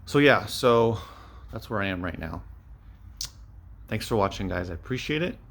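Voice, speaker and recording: A man with a fairly low voice talks through a casual vlog update. He rambles in an unscripted way, thinking aloud as he goes, like a small-time reseller filling viewers in. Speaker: vlogger, online media reseller.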